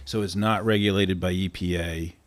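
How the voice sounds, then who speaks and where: A low-pitched American man speaks as a committee member at a local government meeting. He questions and suggests in a measured manner, reworking his phrasing as he goes. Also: steady tone. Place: public council meeting room.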